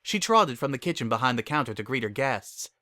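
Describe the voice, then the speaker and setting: A man's voice: medium pitch, expressive, a little fast, bright, forceful tone, no room sound, studio quality. A man reading scripted dramatic story narration as a narrator.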